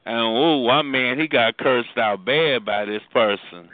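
A man's voice: medium pitch, measured pace. Man asking follow-up questions. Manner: interviewer who asks follow-up questions and backchannels. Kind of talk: casual chat. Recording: dry, phone-like lo-fi sound.

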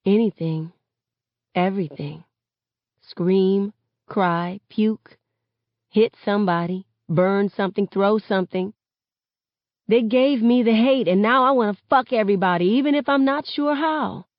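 An impassioned teenage girl, played by a woman reading an audiobook, grieving and angry. She speaks slowly and rawly, in a slightly muffled, roomy recording. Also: medium pitch, lightly acted. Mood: frustrated. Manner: raw, impassioned, sweary. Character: grieving, furious teenage girl who tells the story. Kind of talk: first-person audiobook narration.

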